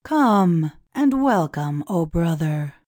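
Woman as a very slow, solemn Egyptian priest. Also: lowish voice, very animated, a little mellow, no room sound, clean studio sound, exaggerated voice. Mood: urgent, commanding. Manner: slow, solemn, archaic formal phrasing. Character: solemn, grave ancient Egyptian male priest. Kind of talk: dramatised storybook reading.

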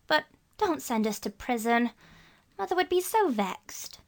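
An earnest young boy from a storybook, put on by a woman reader, speaking in a raised, lively voice that jumps up and down. He pleads earnestly and tries hard to sound brave. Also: sits high, a little slow, voice pushed up, full character voice. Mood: pleading.

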